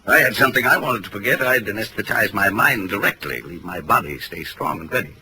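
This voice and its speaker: A man's voice: lowish voice, expressive, lightly acted. An actor playing an urbane, sardonic male novelist with arch, wordy delivery in a 1940s radio drama. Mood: arch, musing. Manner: wordy, arch, drawn-out phrasing. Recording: noisy old radio recording.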